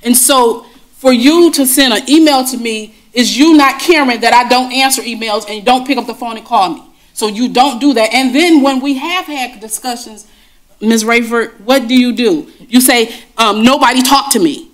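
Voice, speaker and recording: A woman's voice: on the high side, normal speed. A woman on a school board speaking firmly and insistently in a heated meeting, describing herself as having a strong voice.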